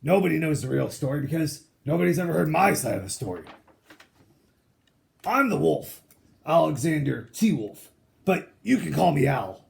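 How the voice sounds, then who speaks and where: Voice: medium pitch, big pitch swings, normal speed, creaky, pauses often, darkish tone, voice pushed down. A reader voicing a sly, aggrieved male wolf who tells his side of a storybook tale in a chatty, mock-innocent, self-justifying way. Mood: aggrieved, confiding.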